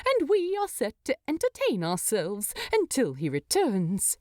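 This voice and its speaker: A woman's voice: a little high, darkish tone, no room sound, clean studio sound, voice pushed up. A woman voicing a chatty, fussy, cheeky male hobbit for a storybook, with a prim, wry manner.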